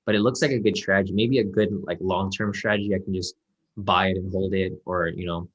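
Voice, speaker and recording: Fast-talking low-voiced man mentoring on a call. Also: breathy edge, dull audio. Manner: relaxed, reassuring, filler-heavy. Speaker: trading mentor. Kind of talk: casual one-on-one mentoring call.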